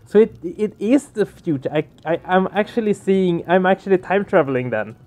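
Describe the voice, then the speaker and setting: A man's voice: sits high, animated, moderate pace, darkish tone. A man doing casual let's play commentary, puzzling things out with repeated questions. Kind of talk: casual game commentary and reading aloud. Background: game music.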